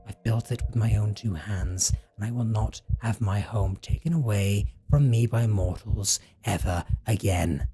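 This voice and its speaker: A possessive vampire played by a man speaks in a dark, heavily breathy voice. He is condescending and theatrical, drawing his words out slowly in a coldly menacing roleplay monologue. His pitch swoops expressively as he taunts. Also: heavily acted. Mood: bitter, indignant. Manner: condescending, drawn-out, theatrical delivery. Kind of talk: dramatic roleplay audio monologue.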